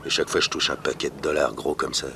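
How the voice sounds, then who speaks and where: An actor playing a naive, boastful, lovestruck small-time crook who flusters easily, in a 1960s French radio crime drama. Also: male voice, very deep voice, steady tone, fast, gravelly, pushed and bright, heavily acted. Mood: boastful, confiding. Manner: bragging, easily flustered, pleading when threatened. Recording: faintly noisy vintage radio recording.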